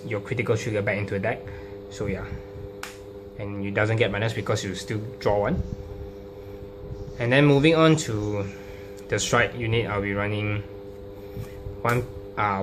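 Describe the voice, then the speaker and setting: A man informally explaining a card deck, halting and hesitant, often repeating his phrases. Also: on the low side. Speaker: trading card deck profile presenter. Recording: clearly noisy recording with hum.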